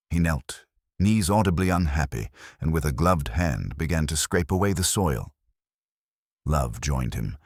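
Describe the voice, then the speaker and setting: A man narrating a scripted mystery story in a measured, literary manner with a wry touch. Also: low voice, breathy edge, no echo, studio quality.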